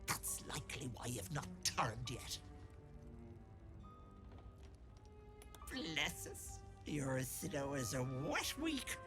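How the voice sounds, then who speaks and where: A woman's voice: extremely low pitch, full character voice. An actress playing a cruel, wheedling old hag in a video game, folksy and scolding, with menace in her endearments. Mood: disgusted, furious. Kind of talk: video game character acting. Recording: game music behind the voice.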